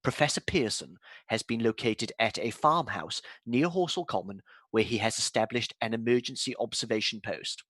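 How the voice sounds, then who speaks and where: A man's voice: mid-range voice, moderate pace, few pauses, dry recording, natural voice. A man playing a composed radio news announcer who reads bulletins formally, in a 1930s-style radio drama. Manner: formal, even bulletin delivery.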